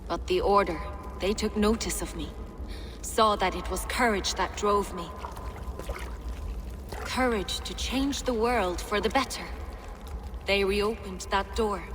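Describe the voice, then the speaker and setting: An actress as a severe, unrepentant abbess in a game, dying and fervent. She speaks very slowly in solemn oratory, in a long cavernous reverb with clear noise behind her. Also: mid-range voice, close to an everyday voice. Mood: solemn fervor. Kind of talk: video game dramatic acting.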